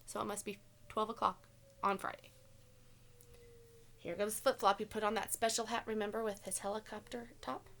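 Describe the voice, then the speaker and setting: A woman's voice: medium pitch, level tone, moderate pace, pauses often, fairly bright tone, a little hiss or hum. A woman teacher reading a picture book aloud to children, expressively and with simple questions for the listeners. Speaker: elementary school teacher.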